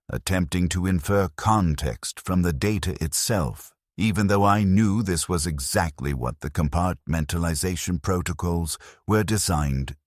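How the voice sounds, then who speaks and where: A man reading scripted first-person narration in a measured, somber and reflective manner. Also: low-pitched, no room sound, clean studio sound.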